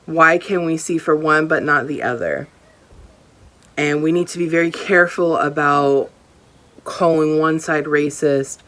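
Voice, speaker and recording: Low-voiced, emphatic woman book vlogger. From Hawaii. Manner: emphatic, opinionated, repeats phrases. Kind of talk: casual book review.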